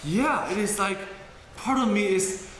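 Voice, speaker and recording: An actor playing a blunt, teasing performer who speaks with dry deadpan delivery. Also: lightly acted. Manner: deadpan, blunt, slow and lively in pitch. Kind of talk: scripted film dialogue. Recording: very echoey room with clear noise.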